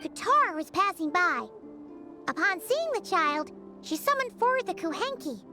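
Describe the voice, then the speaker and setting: Squeaky, excitable little fairy companion. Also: female voice, measured pace, soft background music, exaggerated voice. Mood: reading aloud. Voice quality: squeaky, childlike. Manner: exclamatory, expressive. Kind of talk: anime game voice acting.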